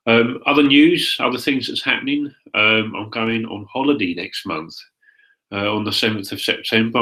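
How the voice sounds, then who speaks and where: A man's voice: deep voice, bright, forceful tone, poor audio. A young man with a stuffed-up, congested voice, casually chatting to viewers in a live hangout, rambling with fillers and restarts. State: congested, stuffed-up nose and throat. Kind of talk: casual solo chat to viewers.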